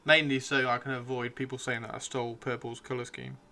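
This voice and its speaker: A man hosting a casual live stream, chatting excitably and repeating his phrases, with his voice starting to give out. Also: medium pitch, brisk. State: losing his voice. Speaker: livestream host. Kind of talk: casual live stream chat while painting miniatures.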